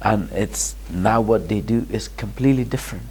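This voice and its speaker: A man from Afghanistan answering interview questions as a guest, pausing and restarting often. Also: on the low side, darkish tone, slightly rough, low-quality recording. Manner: hesitant, many restarts and fillers, laughs in places. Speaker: guest, charity founder.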